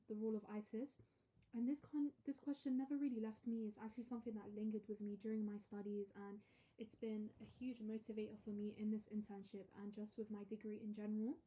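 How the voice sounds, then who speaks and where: Young woman student, earnest podcast presenter, mellow tone, slightly high voice. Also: rough recording. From half Iraqi, half Lebanese heritage. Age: young adult. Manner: earnest, steady, with small fillers. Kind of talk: reading a prepared podcast talk.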